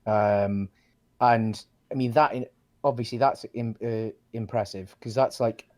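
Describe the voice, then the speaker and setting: A man's voice: on the low side, steady tone, a little slow, darkish tone. A man co-hosting a casual film discussion, thinking aloud in hesitant, circling phrases. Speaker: co-host of a film discussion show.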